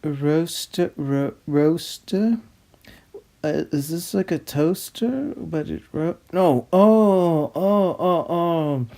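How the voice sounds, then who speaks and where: A man's voice: a little high, expressive, very slow, creaky, dark tone, heavily acted. A man playing a pompous, fussy narrator who reads out a script theatrically. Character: snobby, fussy male narrator, a pompous connoisseur. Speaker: narrator host.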